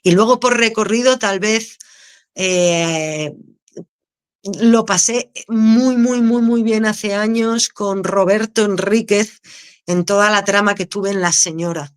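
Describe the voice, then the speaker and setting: A woman, an actress, speaking with a Canarian accent, answering interview questions in a candid, expressive way and laughing easily. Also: mid-range voice, takes it slowly, clear, resonant tone. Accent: Canarian Spanish. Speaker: actress, guest.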